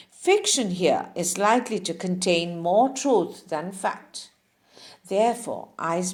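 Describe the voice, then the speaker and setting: Woman reading literature with lively, swooping intonation. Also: medium pitch. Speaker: audiobook reader.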